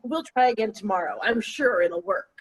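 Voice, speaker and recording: A woman's voice: medium pitch, lively intonation, moderate pace, breathy edge, low-quality recording. A woman playing a prim, skeptical mother of a grown son, with clipped delivery in a play reading. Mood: coolly polite. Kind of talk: virtual table read of a stage comedy-drama.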